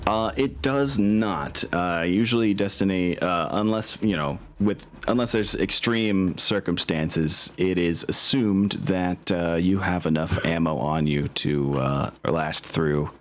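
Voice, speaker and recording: A man's voice: deep voice, takes it slowly, few pauses. A man acting as game master, casually narrating and thinking aloud in a hesitant way, with music behind him.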